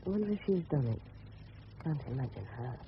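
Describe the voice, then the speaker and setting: A man's voice: fairly high pitch, expressive, fairly quick, natural voice. A man playing an eager, cheeky English teenage schoolboy, thinking aloud in clipped boyish slang in a radio drama. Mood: musing, cheeky. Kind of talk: 1970s radio drama inner monologue. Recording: tinny, faintly noisy old radio broadcast.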